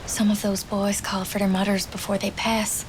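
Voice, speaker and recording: A woman playing a young, grieving wartime nurse in a game drama, soft and wistful, with a Newfoundland accent. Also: medium pitch, level tone, normal speed, slight background noise, natural voice, low-quality recording. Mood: sorrowful. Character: grieving wartime nurse, sister of a fallen soldier. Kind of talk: dramatic game cutscene storytelling.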